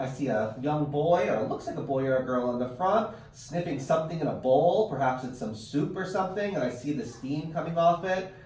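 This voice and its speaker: A man teaching through a virtual read-aloud, chatting encouragingly and expressively with children and parents as he presents a picture book. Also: on the high side. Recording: roomy, distant mic with faint noise.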